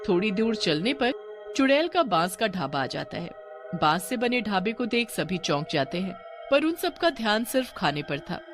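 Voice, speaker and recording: A woman's voice: medium pitch, big pitch swings, moderate pace, music in the background. A woman narrator reading a scripted horror tale for a cartoon.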